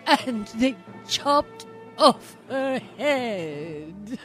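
A woman playing a murderous, melodramatic evil queen in a radio play, shrill and theatrical. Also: big pitch swings, drawn-out words, fairly bright tone, faint music behind, full character voice. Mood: feigned distress.

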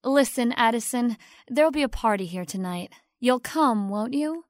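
A woman's voice: on the high side, animated, moderate pace, dry recording, studio quality, natural voice. A woman acting in an audio drama as an ambitious, scheming young stage actress, poised and self-assured with a sweet front. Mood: eager, confiding.

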